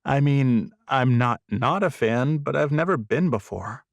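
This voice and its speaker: A shy young woman from a romance audiobook, voiced by a male narrator, speaks hesitantly and with some skepticism. The pitch is lifted, with a questioning manner, and the sound is dry. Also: expressive, a little slow, vocal fry, no echo. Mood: doubtful.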